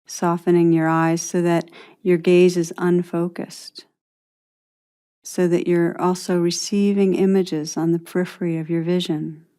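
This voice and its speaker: A woman narrating a guided meditation as a teacher, in a soothing, unhurried manner with long pauses between phrases. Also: lowish voice, level tone, warm tone.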